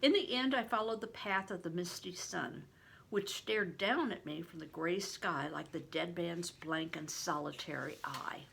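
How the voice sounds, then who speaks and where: Older woman reading aloud, slow and creaky. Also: on the low side, a bit bright, a little hiss or hum. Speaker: reader, retired reading teacher. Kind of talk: expressive audiobook-style read-aloud of a novel.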